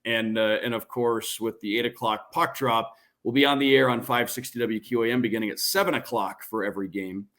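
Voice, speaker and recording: A man's voice: mid-range voice, fairly even intonation, a little fast, hardly any pauses, fairly bright tone. A man who works as a radio play-by-play broadcaster, talking as a podcast guest, fluent and announcer-like. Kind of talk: casual podcast interview.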